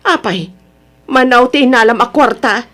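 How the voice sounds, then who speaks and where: A woman's voice: on the high side, normal speed, fairly bright tone, close to an everyday voice. A woman playing a worried, scolding middle-aged mother in a radio drama, reproachful but caring. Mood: scolding, dismayed. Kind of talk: radio drama acting in Ilocano.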